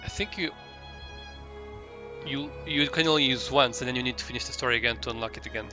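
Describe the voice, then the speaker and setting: A man's voice: medium pitch, fast, over music. A man doing casual live commentary as a game streamer, rambling and hesitant as he searches for his words.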